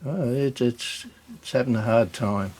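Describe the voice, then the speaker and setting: A man giving a casual, unscripted hands-on demonstration as a hobbyist video host, hesitant and halting as he thinks aloud. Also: mid-range voice, darkish tone, husky.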